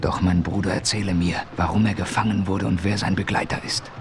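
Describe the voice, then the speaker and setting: A composed frontier hero in a radio play speaks in a low, steady voice. He is calm and decisive, and never rushes. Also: background noise. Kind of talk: 1970s radio play acting.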